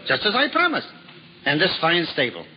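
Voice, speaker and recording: A man's voice: fairly high pitch, wildly swooping pitch, moderate pace. A man in a 1950s radio drama playing a simple, humble traveling juggler who talks to himself in wonder. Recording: lo-fi old radio broadcast, faint hiss.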